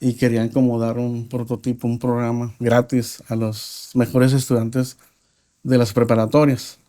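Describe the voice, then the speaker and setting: A man from Mexico with a Mexican accent, a podcast guest telling his life story in a reflective, halting way with frequent pauses. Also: medium pitch, level tone, mellow. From Mexico (Nuevo Laredo). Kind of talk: personal testimony in a podcast interview.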